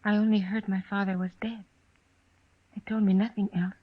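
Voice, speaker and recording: An actress playing a reserved, dignified young wife, a chief's daughter, in a radio western, speaking formally and carefully. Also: female voice, mid-range voice, flat delivery, normal speed, close to an everyday voice. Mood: reserved, earnest. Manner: formal, careful, plain-spoken. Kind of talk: old-time radio western acting. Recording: muffled old radio recording.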